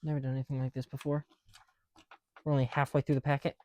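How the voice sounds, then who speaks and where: A tired man speaking softly and casually in an ASMR style, musing to himself as he works. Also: mid-range voice, little pitch movement, quick pace, dry recording, lo-fi sound. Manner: hushed, musing to self while counting pieces. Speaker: ASMR video creator. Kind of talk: soft-spoken ASMR, casual chat while building.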